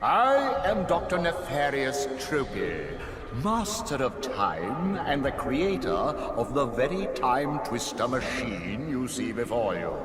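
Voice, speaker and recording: Haughty game villain: pompous master of time, sneering, slow, swinging delivery. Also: male voice, on the high side. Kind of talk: video game cartoon villain acting. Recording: loud music behind.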